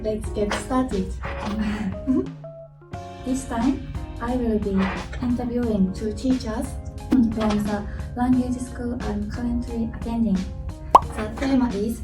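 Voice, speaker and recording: Japanese girl interviewing in beginner english, really slow n careful, roomy far mic w music. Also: a little high. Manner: halting, careful phrasing in learner English. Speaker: interviewer, language student.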